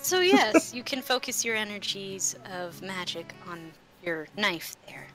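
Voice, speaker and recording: Bright-voiced woman running a tabletop game. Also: medium pitch, big pitch swings, a little slow, poor audio. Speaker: game master. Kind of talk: casual tabletop roleplay narration. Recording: faint music behind. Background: faint music.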